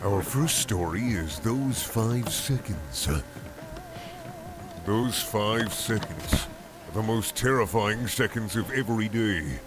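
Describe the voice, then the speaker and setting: Low voiced guy reading scary stories all deadpan and dramatic, loud music and gunfire going behind him. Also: slow. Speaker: YouTuber, story reader. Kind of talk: dramatic amateur horror story narration with mock-serious asides. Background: loud music, video game gunfire.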